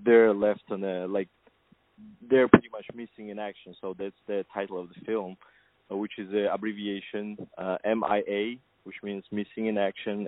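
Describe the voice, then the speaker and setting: A man's voice: on the low side, no echo. A man from Kazakhstan, a film producer, answering interview questions over the phone in a hesitant way, with many fillers. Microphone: telephone. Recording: tinny phone line.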